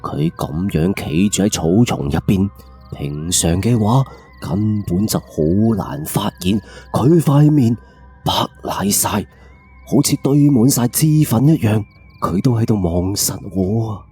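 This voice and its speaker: A man's voice: on the low side, expressive, unhurried, warm tone, husky, soft background music. A man with a Cantonese accent narrating a first-person ghost story in a colloquial, suspenseful late-night radio style.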